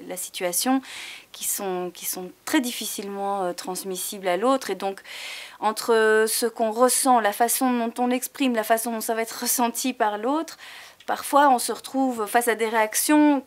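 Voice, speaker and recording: A woman in an interview, speaking thoughtfully and hesitantly while searching for words. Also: mid-range voice. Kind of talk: reflective interview.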